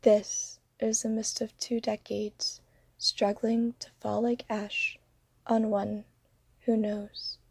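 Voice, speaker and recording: A woman with a breathy, husky voice recites poetry very slowly. Her tone stays flat and quiet, and the sound is dry. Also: medium pitch. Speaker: poet.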